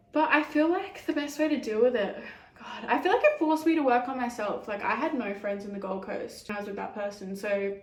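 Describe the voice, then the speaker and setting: A woman's voice: a little high, echoey room. A young woman chatting casually in a Q&A, giggly and full of "like" fillers. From Australia. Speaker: YouTuber answering fan questions. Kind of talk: casual Q&A chat.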